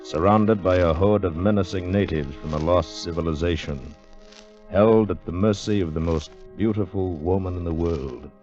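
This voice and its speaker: A male radio announcer with an extremely deep voice and an ominous, theatrical 1940s delivery. The recording is muffled and old, with music playing behind him. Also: moderate pace, darkish tone. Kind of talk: 1940s radio announcing, dramatic second-person scripted narration.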